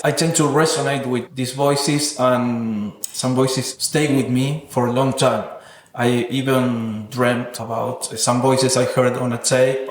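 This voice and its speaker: A man speaking reflectively and earnestly as an interviewed artist, with hesitant, non-native phrasing. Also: medium pitch. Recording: roomy, echoing sound.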